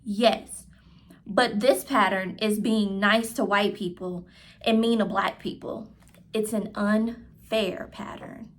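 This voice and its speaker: A woman's voice: mid-range voice, steady tone, slow, close to an everyday voice. A woman reading the part of a patient mother who explains things to her child in a storybook. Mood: patient, serious.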